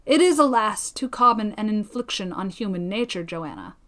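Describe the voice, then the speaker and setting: A woman reading a storybook voices a devoted, cautious gentleman suitor who pleads in formal period speech. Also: mid-range voice, expressive, normal speed, lightly acted. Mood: solemn pleading.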